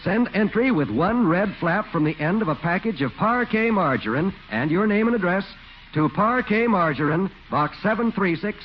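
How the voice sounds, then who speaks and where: A man's voice: high voice, expressive, normal speed. A man announcing a contest in an emphatic 1940s radio sales style. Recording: dull, hissy 1940s radio recording.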